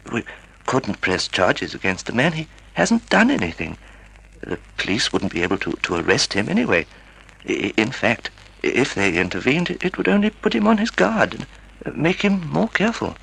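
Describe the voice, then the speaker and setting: A husky, stammering, timid older gentleman. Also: fairly high pitch, huge pitch swings, normal speed, exaggerated voice, poor audio. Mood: worried. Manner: hesitant, stammering. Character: timid, half-blind, wealthy retired older husband, anxious and polite. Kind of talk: old-time radio drama acting. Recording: vintage radio drama recording.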